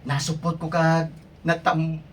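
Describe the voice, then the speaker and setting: A woman's voice: deep voice, level tone, takes it slowly, crowd noise. A woman rehearsing radio drama lines, stumbling and repeating them between bits of casual chat. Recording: distant mic with faint background noise.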